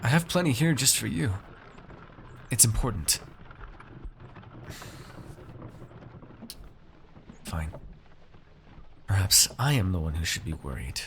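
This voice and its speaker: A man playing a haughty, shrewd noble master in a fantasy ASMR roleplay, speaking in measured, formal, condescending phrases to a servant. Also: lowish voice, very animated, a bit bright, a little hiss or hum. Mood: coaxing, wry.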